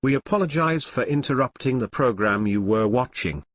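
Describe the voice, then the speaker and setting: A man's voice: medium pitch, expressive, moderate pace, no echo, close to an everyday voice. A man playing an impersonal television emergency announcer, reading a formal standby notice. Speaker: broadcast interruption announcer.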